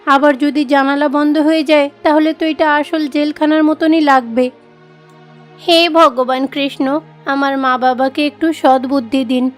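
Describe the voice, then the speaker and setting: High-pitched, earnest village girl. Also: steady tone, unhurried, faint music behind. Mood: sorrowful, pleading. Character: sheltered, devout young village daughter, meek and pleading. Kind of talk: animated moral story dialogue.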